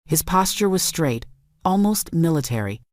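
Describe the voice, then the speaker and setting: A woman reading a scripted dramatic story in a measured, solemn storytelling manner. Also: deep voice, animated, no room sound, studio quality. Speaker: story narrator.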